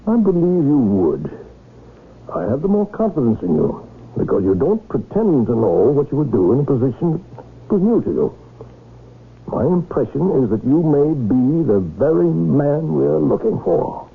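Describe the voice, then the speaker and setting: A man acting a probing, formal gentleman in a radio drama, speaking in measured phrases on a noisy old recording. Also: a little high, very animated, vocal fry, husky, phone-quality sound, heavily acted. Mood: probing, assured.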